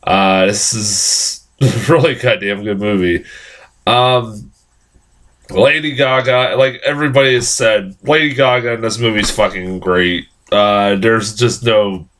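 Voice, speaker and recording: Hoarse, congested man rambling a film review. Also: lowish voice, a little slow, a bit bright. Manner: rambling, frequent fillers and profanity. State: hoarse and nasal from a cold. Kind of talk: casual vlog review.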